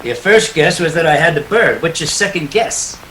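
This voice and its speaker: Man playing a bright, higher-voiced hardboiled detective. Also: lively intonation, normal speed, a little hiss or hum, low-quality recording. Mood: wry, unruffled. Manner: clipped, wry, casual. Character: wisecracking, cool hardboiled male private detective. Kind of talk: 1940s detective radio play acting with first-person narration.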